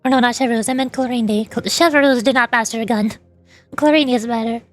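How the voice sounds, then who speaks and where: Female VTuber, high voice, chatty and opinionated casual gaming commentary, rambling delivery, faint music. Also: brisk. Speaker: VTuber streamer.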